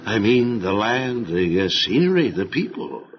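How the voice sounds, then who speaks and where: A man's voice: fairly low pitch, measured pace, slight background noise. An actor playing a weary, devout aged father in a biblical audio drama, speaking in a kindly, hesitant way. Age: older. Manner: hesitant, rambling, expressive. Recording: muffled radio drama, music underneath.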